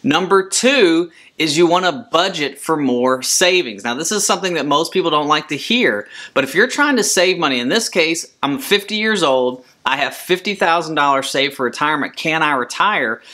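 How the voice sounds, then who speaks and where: A man's voice: a little high, lively intonation, normal speed, hardly any pauses, pushed and bright. A man presenting a scripted financial explainer as an advisor and host, emphatically repeating his key points. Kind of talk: scripted explainer talk to camera.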